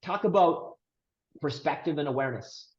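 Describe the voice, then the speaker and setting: A man's voice: on the high side, animated, moderate pace, muffled recording. A man in his thirties from Romania, a podcast guest earnestly telling his family story with emphatic delivery. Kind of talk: casual interview storytelling.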